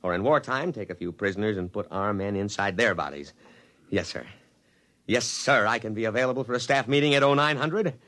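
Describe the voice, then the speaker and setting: An actor playing a pompous, ambitious army colonel in a 1950s radio drama, speaking with clipped military formality. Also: male voice, fairly low pitch, fairly quick, bright, forceful tone. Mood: gleeful scheming. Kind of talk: 1950s radio drama acting and first-person narration. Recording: vintage 1950s radio broadcast with music.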